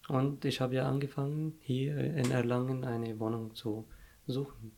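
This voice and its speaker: A young man whose first language is Arabic, telling his story in an interview, pausing often to search for words. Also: medium pitch, flat delivery, creaky, warm tone, slight background noise. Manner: halting, searching for words, frequent fillers. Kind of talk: casual personal story.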